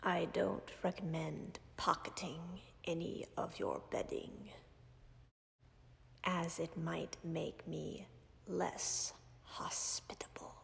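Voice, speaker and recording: Slow husky-whisper dragon lady, a woman doing her, gravelly and a bit low, dry and commanding, audio roleplay. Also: little pitch movement, in a large hall, full character voice. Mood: calm. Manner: measured, formal, dryly commanding.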